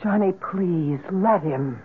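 An urgent radio-drama fiancée, muffled. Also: female voice, on the low side, animated, slow. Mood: quietly urging. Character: anxious, scheming young woman, the hero's fiancée. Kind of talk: old-time radio drama acting. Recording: muffled, noisy old radio broadcast.

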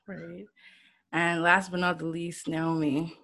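A woman's voice: on the low side, little pitch movement, takes it slowly, gravelly, fairly bright tone. A woman in her twenties hosting a casual podcast over a video call, laughing often between lines. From Nigeria. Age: 20s. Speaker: podcast host. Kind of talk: casual group chat.

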